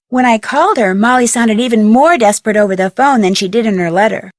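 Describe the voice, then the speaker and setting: A woman's voice: fairly high pitch, no echo, studio quality, natural voice. An actress playing an upbeat young amateur detective, chatty and wry, narrating her case in a mystery game. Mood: eager. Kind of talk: scripted first-person narration for a mystery game.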